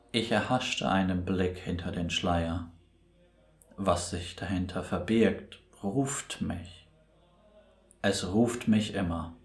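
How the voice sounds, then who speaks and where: A man playing a grave, brooding priest who tells a dark tale in a solemn, ominous, deliberate way for a cinematic audio drama. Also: low-pitched. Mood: haunted, brooding. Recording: roomy echo on the voice.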